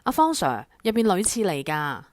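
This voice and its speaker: A woman's voice: lowish voice, sing-song, normal speed, dry recording, studio quality. A woman playing a composed schoolteacher in an audio drama. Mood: questioning. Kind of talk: Cantonese radio drama acting.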